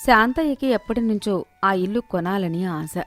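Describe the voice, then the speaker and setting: A woman's voice: mid-range voice, animated, moderate pace. A woman narrating a scripted moral story as its storyteller.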